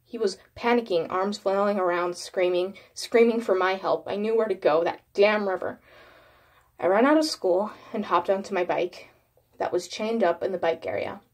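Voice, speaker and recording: A woman's voice: medium pitch, moderate pace. A woman reading a first-person horror story as a dramatic scripted narration.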